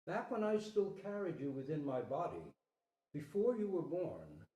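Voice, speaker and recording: A man voicing a patient, gentle mother deer explaining things to her child in a storybook reading. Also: a little high, sing-song, moderate pace. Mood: solemn. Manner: explanatory, reassuring. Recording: roomy, distant microphone.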